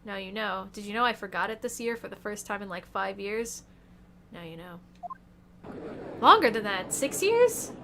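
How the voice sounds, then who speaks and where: A woman's voice: medium pitch, expressive, moderate pace, gravelly, pauses often, slight background noise. A young woman streaming a game, chatting casually and joking about herself to her viewers. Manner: chatty, joking, self-deprecating. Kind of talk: casual gaming stream chat. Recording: game music playing loudly under voice.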